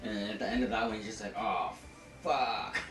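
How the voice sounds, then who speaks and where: A man's voice: lowish voice, steady tone, a little fast, fairly bright tone, a little hiss or hum. A man co-hosting a podcast, chatting casually about pop culture in informal, slangy language with some swearing. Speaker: podcast co-host. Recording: echoey room, distant mic, music behind. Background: music.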